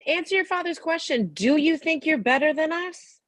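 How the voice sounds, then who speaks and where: A needling mother of sixty, played by a woman at a table read. She speaks fairly high and keeps pressing with nagging questions. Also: animated, normal speed, fairly bright tone. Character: Jennifer, pushy, needling sixty-year-old mother.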